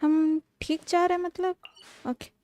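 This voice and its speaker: Casting: female game streamer from India, quick casual commentary, mellow tone, faint music behind. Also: very high-pitched, level tone, slight background noise. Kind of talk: casual live gameplay commentary.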